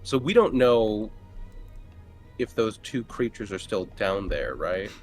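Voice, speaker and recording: Lowish-voiced man chatting during a game. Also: very animated, slow pace, darkish tone. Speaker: player in a tabletop roleplaying game. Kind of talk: casual game chat. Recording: music playing behind the voice.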